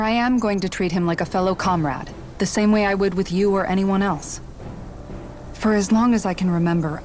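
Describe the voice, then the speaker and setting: A woman's voice: medium pitch, darkish tone, close to an everyday voice. Actress doing a dutiful young guard lady, composed n earnest, game cutscene, bit of music behind. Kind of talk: video game voice acting. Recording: music underneath. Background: background music.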